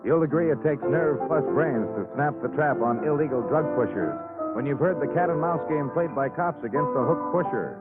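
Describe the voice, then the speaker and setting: A man's voice: on the high side, expressive, moderate pace. An American man announcing formally and emphatically in the style of 1950s network radio, reading scripted copy. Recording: tinny old radio broadcast, loud music behind.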